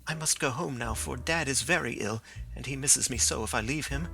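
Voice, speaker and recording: A man's voice: mid-range voice, brisk, creaky, pushed and bright, soft background music, rough recording. A man voicing an earnest young woman who is devoted to her father, speaking in a raised, pleading voice.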